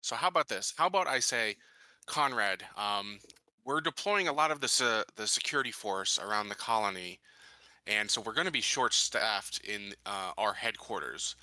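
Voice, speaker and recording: A man playing a tabletop roleplaying game, improvising his character's words in a halting, tentative way. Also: fairly low pitch, lively intonation, bright, forceful tone, no room sound.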